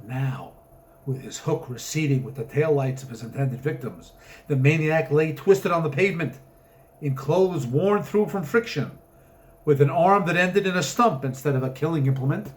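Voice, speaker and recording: A man's voice: on the high side, normal speed. A man reading a story aloud as its author, narrating with theatrical, emphatic comic drama.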